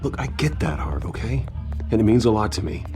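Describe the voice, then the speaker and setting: A man's voice: fairly low pitch, very animated, brisk, warm tone, faint music behind. An actor playing a guarded, brooding wealthy man in a video game, speaking tersely and seriously.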